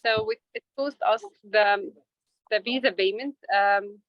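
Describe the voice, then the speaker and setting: A woman interview guest speaking English as a second language, answering informally and haltingly as she searches for words. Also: medium pitch, no room sound. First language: not English. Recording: dull lo-fi audio.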